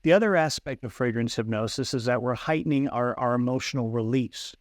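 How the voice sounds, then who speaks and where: A man's voice: mid-range voice, a little fast, dry recording. Earnest teacherly man, dry sound. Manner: earnest and teacherly, with frequent restarts. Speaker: guest, fragrance hypnosis coach. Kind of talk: explanatory interview talk. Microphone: video call.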